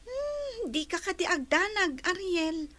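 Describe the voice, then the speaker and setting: Casting: chirpy, curious Filipino schoolgirl, extremely high and bright, radio drama. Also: sing-song, takes it slowly, full character voice. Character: inquisitive, chirpy young female pupil, Andrea.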